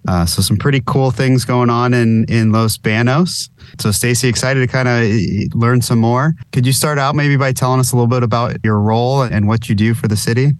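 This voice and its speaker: A man's voice: mid-range voice, a little fast, few pauses, no room sound. A man hosting an interview podcast, chatting casually and rambling with lots of filler words. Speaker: podcast host.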